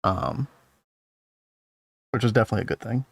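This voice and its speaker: A man streaming a game in casual, rambling talk to his chat, his voice a little hoarse. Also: lowish voice, normal speed, dry recording. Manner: rambling, easygoing, talks to chat. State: losing his voice. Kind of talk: casual gameplay commentary.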